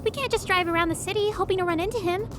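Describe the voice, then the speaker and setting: A woman voicing an excitable, naive young female partner in an audio drama, eager and questioning. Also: very high voice, racing, music in the background. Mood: eager, puzzled.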